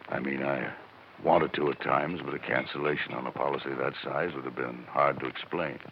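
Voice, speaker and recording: An extremely deep male voice plays a lonely, regretful middle-aged man in fifties radio drama. He speaks hesitantly and wearily, on a dull old recording with faint noise. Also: steady tone, brisk. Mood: weary, regretful. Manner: hesitant, subdued confession. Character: weary, lonely, remorseful middle-aged widower and insurance man. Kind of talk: 1950s radio drama acting. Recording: muffled, hissy 1950s radio recording.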